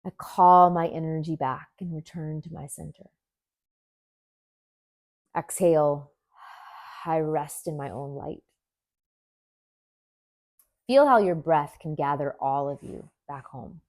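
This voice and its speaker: A woman teaching yoga nidra, leading a guided meditation in a gentle, soothing manner with deliberate pauses. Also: sits low, no room sound.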